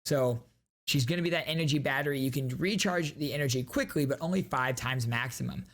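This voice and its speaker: A man's voice: mid-range voice, a little fast, fairly bright tone. A man hosting a gaming video, giving casual, enthusiastic reaction commentary in slangy language.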